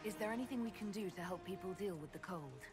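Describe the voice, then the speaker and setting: An actress playing a resolute, businesslike young heroine in a fantasy game, speaking in a direct, questioning way. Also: female voice, mid-range voice, lively intonation, quick pace, music in the background, close to an everyday voice. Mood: inquiring. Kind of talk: scripted fantasy game dialogue.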